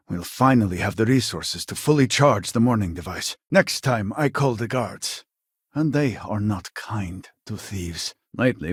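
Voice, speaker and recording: An actor playing an earnest, exasperated scientist from a devout society in a sci-fi video game, speaking formally with a husky voice. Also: male voice, on the low side, no room sound, studio quality. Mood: dry, stern. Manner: formal, measured, articulate. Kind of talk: video game character dialogue.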